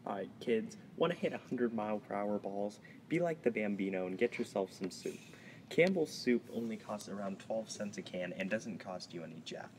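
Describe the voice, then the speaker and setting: Man as low-voiced 1920s radio pitchman. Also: lively intonation, normal speed, a little mellow, big-room sound, natural voice. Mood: salesmanlike. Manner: salesmanlike, slangy, stumbles over script. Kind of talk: 1920s radio advertisement acting. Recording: noisy amateur recording.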